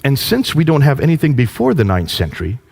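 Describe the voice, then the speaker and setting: A man preaching with a lively, swooping pitch and emphatic rhetorical questions. His voice is a little husky, and it rings out with a long hall reverb. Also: fast. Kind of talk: preaching lecture.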